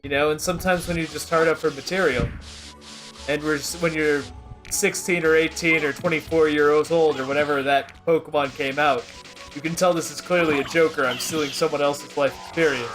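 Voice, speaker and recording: A man co-hosting a casual gaming show, telling crude jokes in a halting, hesitant way. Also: fairly high pitch, fairly even intonation, a bit bright. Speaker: show co-host, gamer. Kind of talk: casual gaming podcast chat. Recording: game music underneath.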